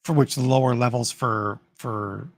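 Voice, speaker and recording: A man hosting a writers' livestream in an upbeat, chatty way, full of fillers and restarts, his voice getting raspy from long talking. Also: mid-range voice, steady tone, moderate pace, no echo, clean studio sound. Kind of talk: casual livestream chat answering viewer comments.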